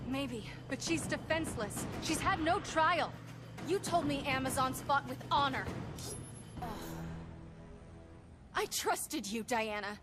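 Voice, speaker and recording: A woman's voice: high voice, expressive, unhurried. A young woman playing an earnest, compassionate and defiant superheroine in a video game, with a pleading manner. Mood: urgent, firm. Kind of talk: cinematic video game acting. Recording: game music behind voice.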